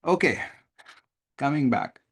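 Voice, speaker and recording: A man with an Indian accent teaching a live online lesson, stressing key words deliberately and checking in with questions. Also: mid-range voice, expressive, breathy edge. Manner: deliberate, emphatic word-by-word stress, rhetorical questions. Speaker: teacher, test-prep coach. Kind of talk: live online lesson, explaining reading comprehension.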